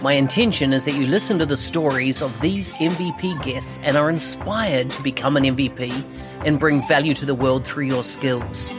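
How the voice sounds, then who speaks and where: A man hosting a podcast, reading a measured scripted intro and then chatting with a guest. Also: mid-range voice, music in the background. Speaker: podcast host.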